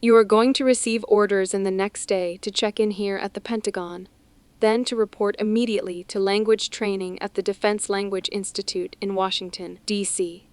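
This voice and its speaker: A man's voice: high voice, fairly even intonation, moderate pace, clear, resonant tone, no room sound, pitched above the performer's own voice, natural voice. A man voicing a pleasant, melodious female naval officer speaking formally on the phone. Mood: polite. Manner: soft, polite, formal.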